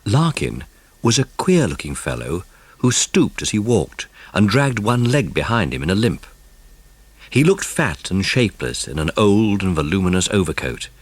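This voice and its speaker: A man's voice: deep voice, sing-song, moderate pace, breathy edge, dry recording, heavily acted. A man narrating a dramatised children's storybook. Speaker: audiobook narrator.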